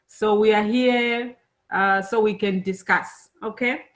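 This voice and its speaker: A middle-aged woman born and raised in Zambia, giving a friendly, unhurried presentation to students, with many fillers. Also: mid-range voice, steady tone. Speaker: engineer giving a talk to students.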